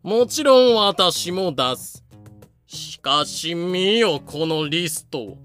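A man's voice: sits high, animated, slow, fairly bright tone, faint music behind, exaggerated voice. A man playing a smug, haughty colonial governor in a comedic voice drama, talking in a pompous, sardonic way. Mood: grandly persuasive.

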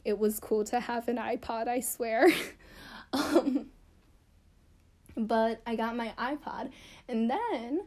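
A woman's voice: fairly high pitch, takes it slowly, long pauses, a little mellow. A young woman chatting casually to camera about her childhood, rambling and self-deprecating with lots of fillers. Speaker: vlogger.

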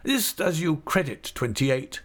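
A man's voice: fairly high pitch, expressive, a little slow, fairly bright tone, voice pushed up. A man reading an audiobook, voicing a pompous, self-satisfied middle-aged male prison official. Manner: pompous and approving.

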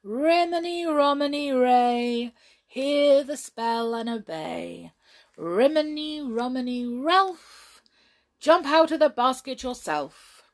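A boastful little male brownie conjurer, played by a woman storyteller, chanting spells and giving orders in a loud, haughty voice. He drags out his words slowly and grandly, with the pitch swooping up on the magic words. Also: expressive, full character voice.

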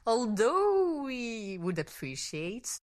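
A woman in her thirties from Belgium, a native Dutch speaker with a Belgian Dutch accent, chatting as a guest, friendly and laughing often, with halting restarts. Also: fairly high pitch, very animated. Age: 30s. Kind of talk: casual interview chat.

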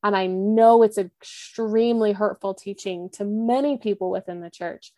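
A woman's voice: mid-range voice, moderate pace, warm tone, no room sound, clean studio sound. A young woman speaking candidly in an interview, earnest and reflective, with lots of "like" and restarts. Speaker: podcast host and guest.

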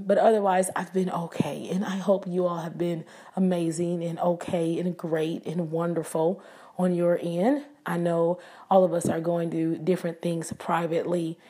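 A woman with a raspy voice hosting a casual devotional podcast, talking earnestly and prayerfully to her listeners. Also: fairly low pitch, warm tone. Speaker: podcast host. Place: living abroad, indoors.

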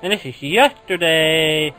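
A man's voice: on the high side, takes it slowly, bright, forceful tone, faint music behind. A man hosting radio, giving a simple sign-off style announcement. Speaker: radio host.

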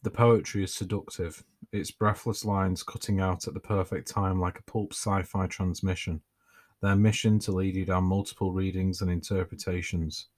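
A man hosting his own podcast, reading his writing aloud in a relaxed way with dry, self-mocking humour. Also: deep voice, moderate pace. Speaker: podcast host and author.

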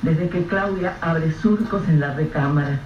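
Guy narrating a radio play, slow grave delivery, echoey and kinda distant, dull faint-hiss sound. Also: a little high. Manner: measured, grave storytelling. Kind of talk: scripted radio drama narration.

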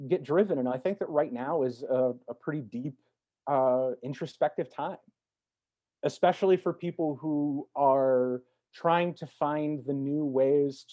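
A man speaking as an interview guest, an actor talking in a thoughtful, reflective way with measured phrasing and emphatic swells. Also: medium pitch, warm tone, dull audio. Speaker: podcast guest, stage and voice actor.

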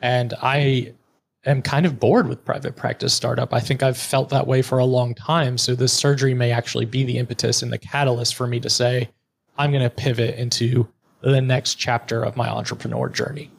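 A man's voice: medium pitch, level tone, a little fast, gravelly, slightly rough. A middle-aged man speaking frankly and bluntly in a conversational interview, now and then swearing. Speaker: podcast guest, therapist and entrepreneur.

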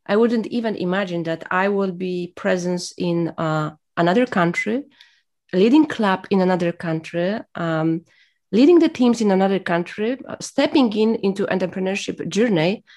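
A woman's voice: mid-range voice, moderate pace, darkish tone. A woman whose first language is Polish, speaking as an interview guest in a reflective, motivational way, hesitant and full of fillers as she searches for words.

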